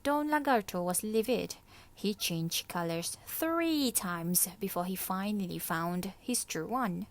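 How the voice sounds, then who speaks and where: Slow-paced woman reading a storybook. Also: medium pitch, expressive. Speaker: storybook reader. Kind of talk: expressive scripted children's story narration.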